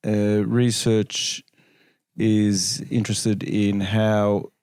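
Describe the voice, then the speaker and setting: An Australian-accented man answering interview questions casually, with measured, hesitant phrasing and dry humour. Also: lowish voice, flat delivery, darkish tone, smooth, no room sound. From Melbourne, Australia. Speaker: interview guest, chiropractor and researcher.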